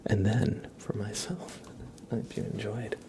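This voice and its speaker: A man hosting an ASMR livestream, chatting to viewers in a breathy whisper mixed with soft, creaky speech, gentle, unhurried and playful. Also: on the low side, level tone.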